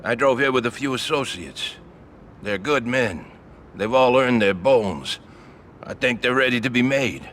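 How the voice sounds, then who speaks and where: An actor playing a crude, sly old-guard mobster in a video game, blunt and needling. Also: male voice, medium pitch, lively intonation, a little slow, a bit bright, slight background noise. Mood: dry, businesslike. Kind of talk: video game cutscene acting.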